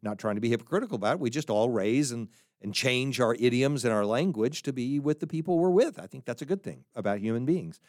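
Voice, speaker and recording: An American man giving an unscripted philosophy talk, thoughtful and halting, with frequent restarts and asides. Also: mid-range voice, lively intonation, darkish tone, no echo, studio quality. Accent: General American. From United States. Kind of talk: unscripted spoken lecture.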